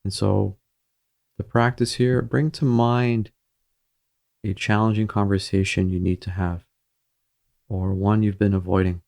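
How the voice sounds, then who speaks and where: Low, creaky-voiced male meditation guide. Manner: gentle, soothing, measured with pauses.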